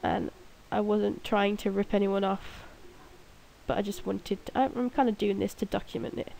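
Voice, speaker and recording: A woman's voice: mid-range voice, steady tone, moderate pace, creaky, mellow, rough recording. A young woman with a cold and a blocked nose, rambling and mocking herself as she talks over her gameplay alone in her room at night. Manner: rambling, self-mocking, giggly. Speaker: gaming video creator. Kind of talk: casual gameplay commentary.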